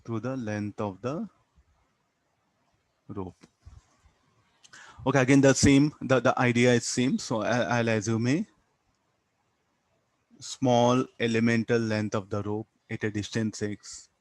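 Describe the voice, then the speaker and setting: A man with an Indian accent teaching physics, explaining step by step in a halting way, repeating words and tagging on "huh" and "okay". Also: mid-range voice, fairly even intonation, dry recording. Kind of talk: classroom lesson, explaining a worked problem.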